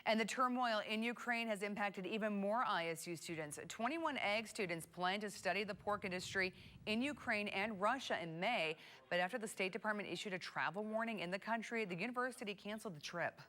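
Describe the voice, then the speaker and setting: A woman's voice: medium pitch, fairly quick, sharp tone. A woman with an American accent reading scripted television news as an anchor in a studio.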